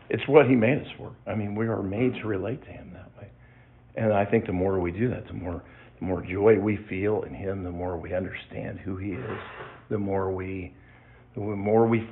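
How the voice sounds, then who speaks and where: A man in his sixties giving a personal testimony to his church, reflective and halting, with many restarts. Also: sits low, vocal fry. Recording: tinny phone-like sound.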